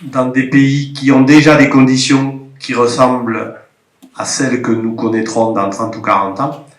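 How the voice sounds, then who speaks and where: A man's voice: medium pitch, little pitch movement, unhurried. A man with a cold speaking up from a workshop audience, reflective and hedging. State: has a cold. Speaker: participant from a regional agriculture office. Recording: roomy conference hall sound.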